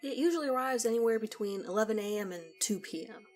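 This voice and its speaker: A woman narrating a scripted first-person story in a conversational, measured storytelling manner. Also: a little high, a little mellow. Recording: music behind the voice. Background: background music.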